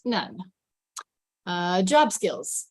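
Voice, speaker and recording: A woman's voice: fairly low pitch, sing-song, extremely slow, a little mellow, dry recording, exaggerated voice. Woman reading a wisecracking young male office worker. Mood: deadpan joking.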